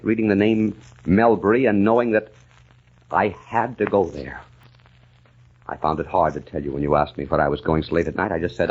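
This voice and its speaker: A brooding gentleman veteran haunted by lost memory, in a very low, husky voice. He speaks earnestly, with halting dramatic pauses, on a muffled vintage radio drama recording. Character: haunted amnesiac gentleman and war veteran recalling his lost past. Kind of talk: old-time radio drama narration.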